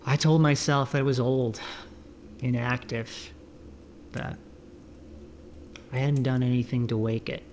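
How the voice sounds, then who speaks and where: A man talking casually and unhurriedly, as if narrating his own camping and cooking vlog. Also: medium pitch. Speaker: found-footage vlogger, narrator. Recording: roomy echo, faint background noise.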